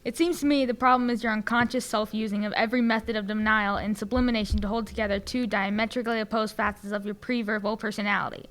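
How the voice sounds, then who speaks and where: A young woman acting in a comic student radio play as a dry, sardonic, needling woman with a deadpan delivery. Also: medium pitch, fast talker, few pauses, close to an everyday voice. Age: young adult. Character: dry, needling woman, mobster's wife and therapist. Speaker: actor in a student audio play.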